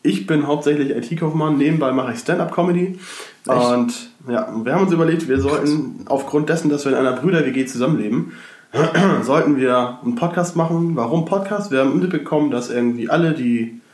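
A man co-hosting a casual podcast from his shared flat, slightly congested from a cold, rambling with many fillers and restarts. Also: fairly high pitch, brisk, roomy sound. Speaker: podcast co-host. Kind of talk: casual chat.

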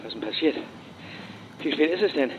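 A man's voice: fairly high pitch, animated, a little fast, lightly acted. An actor playing an evasive, impatient male police superior in a German audio drama, curt and brushing off questions over the phone. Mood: drowsy, puzzled. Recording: dull phone line, faint noise.

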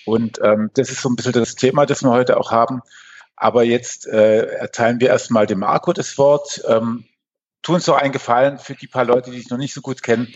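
Husky, rambling podcast co-host. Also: male voice, mid-range voice, fairly even intonation, a little fast, muffled recording. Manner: playful, hypes guests, rambling restarts. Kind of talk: casual podcast conversation.

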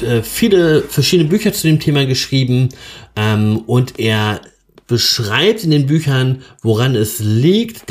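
A middle-aged man, a couples therapist, hosting his own podcast and calmly explaining a concept with occasional filler sounds. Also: medium pitch. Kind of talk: solo podcast monologue.

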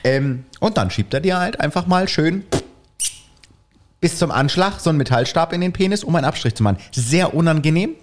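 A man's voice: on the high side, a little fast, a little hiss or hum. A man casually telling a long personal anecdote and acting out the voices of the people in it. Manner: animated anecdote-telling with acted-out quotes. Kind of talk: casual storytelling chat.

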